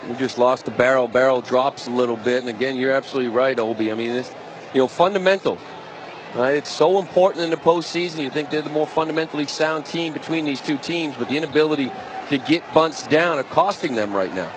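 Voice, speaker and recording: A man's voice: mid-range voice, fast. A man giving conversational baseball color commentary on a live broadcast, colloquial and fond of posing questions. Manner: colloquial, poses questions, talks directly to listener. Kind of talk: conversational live sports analysis. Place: ballpark broadcast booth. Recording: noisy live stadium broadcast. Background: clear stadium ambience.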